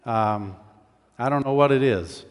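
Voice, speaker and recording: A man giving a conversational sermon as a preacher, in a measured, explanatory way. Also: medium pitch, clean tone. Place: large church hall. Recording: reverberant hall sound.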